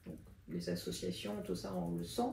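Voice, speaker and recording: A woman's voice: lowish voice, wildly swooping pitch, normal speed, vocal fry, darkish tone, slight background noise. A woman interviewing a guest, reflective and affirming. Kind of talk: conversational interview. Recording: echoey room.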